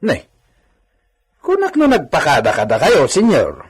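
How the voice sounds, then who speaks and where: Radio drama henchman: obsequious, husky, sing-song swings in pitch, eager to please his master. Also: wildly swooping pitch, a little slow, full character voice. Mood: hesitant. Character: deferential, fawning male servant of a rich landowner.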